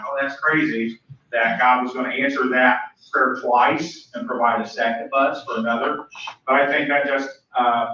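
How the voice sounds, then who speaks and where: Young midwest guy, loud earnest pastor type, sounds far off in a big echoey room. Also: mid-range voice, slight background noise. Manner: earnest, grateful, loud speaking voice. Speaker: youth pastor speaking at public comment. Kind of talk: public comment at a school board meeting. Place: large meeting room. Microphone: room mic from far off. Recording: echoey, dull, distant room recording.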